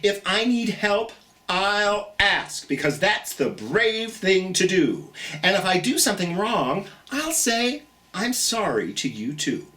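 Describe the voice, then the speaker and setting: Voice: mid-range voice, sing-song, slow, sharp tone, far from the mic. A children's storytime host with a theatrical, singsong manner, speaking encouragingly and directly to young listeners.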